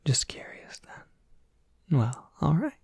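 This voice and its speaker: A person voicing a courteous Cerberus professor in a whispered ASMR roleplay, polite, gently scholarly and prone to rambling, with a soft breathy whisper. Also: mid-range voice, sing-song, extremely slow, no echo, studio quality, full character voice. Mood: courteous, welcoming.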